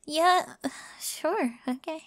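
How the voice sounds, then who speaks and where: A woman's voice: high voice, drawn-out words, fairly bright tone, dry recording, full character voice. A woman playing an eager, flustered young delivery worker in an audio drama, with a nervous, hesitant way of talking. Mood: flustered, grateful. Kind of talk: scripted ASMR audio drama.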